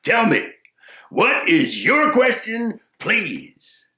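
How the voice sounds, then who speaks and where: A man voicing a slow, self-assured wise man who drawls his words with grand pomposity, in an echoey room. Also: high voice, wildly swooping pitch, bright, forceful tone, voice pushed up, full character voice. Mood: sly. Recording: roomy echo.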